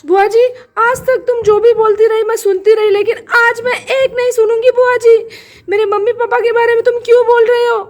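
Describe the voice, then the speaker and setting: A woman's voice: extremely high pitch, flat delivery, a little fast, pitched above the performer's own voice. A woman playing a tearful, pleading young wife. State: crying.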